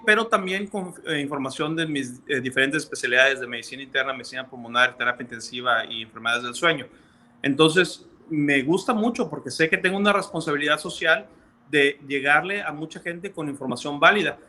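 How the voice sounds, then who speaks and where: A man's voice: a little high, bright, forceful tone. A man from Mexico with a Mexican accent, a physician explaining things earnestly in a video-call interview, with many fillers. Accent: Mexican Spanish. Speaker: physician guest.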